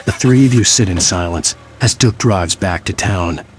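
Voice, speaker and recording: A man's voice: deep voice, moderate pace, a little hiss or hum. A man narrating a visual novel story in the second person, in a scripted storytelling style.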